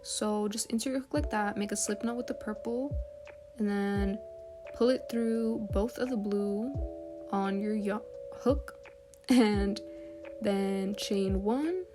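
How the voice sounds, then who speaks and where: A woman's voice: mid-range voice, slow. A woman narrating a step-by-step craft tutorial, often counting aloud, as its host. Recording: soft music bed under voice. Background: background music.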